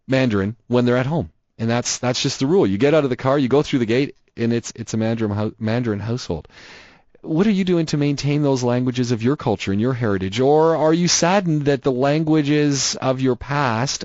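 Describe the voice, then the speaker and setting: A man's voice: medium pitch, a little mellow, no room sound, lo-fi sound. A man hosting a radio call-in show, musing aloud, repeating words and asking listeners many questions.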